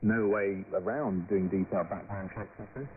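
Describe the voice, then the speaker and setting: A man's voice: on the low side, moderate pace. Male radio news presenter on crackly shortwave. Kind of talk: broadcast news interview. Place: radio studio. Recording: crackly shortwave radio, narrow band, static noise.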